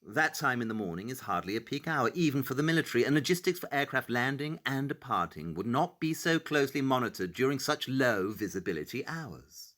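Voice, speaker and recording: A man's voice: medium pitch, very animated, fairly quick, few pauses, a bit bright. An actor playing a brilliant, self-assured detective in an audio drama, precise and dryly condescending as he lays out his deductions. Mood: assured, cryptic. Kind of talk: audio drama acting, expository dialogue.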